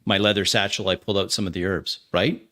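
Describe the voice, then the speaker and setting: Bright, lowish-voiced male tabletop player. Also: steady tone, fast talker, no echo, studio quality. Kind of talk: first-person game action description.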